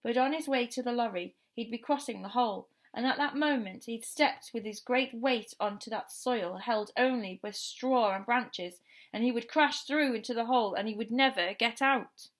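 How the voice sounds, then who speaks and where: A woman reading a children's storybook aloud like a teacher, with expressive, dramatic and deliberate phrasing and emphasis on questions and key words. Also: a little high.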